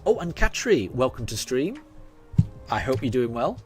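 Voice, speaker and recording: A man's voice: medium pitch, big pitch swings, moderate pace. A man in his forties from Yorkshire with a faded Yorkshire accent, chatting hesitantly with his live-stream chat over many ums and restarts while he plays. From Yorkshire, England. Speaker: game streamer. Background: game music.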